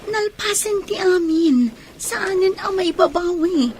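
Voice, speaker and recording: A woman's voice: very high-pitched, lively intonation, slow, a little mellow, slight background noise, heavily acted. A woman playing an anxious young pregnant woman fretting over her sweetheart in a radio drama. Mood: anxious, tearful.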